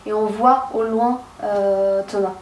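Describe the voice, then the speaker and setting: A woman's voice: mid-range voice, steady tone, slow pace, a little mellow. A woman directing a short film shoot late at night, talking through the shots with many fillers and calling the takes. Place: film set indoors at night. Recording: roomy, from a distance, crowd noise.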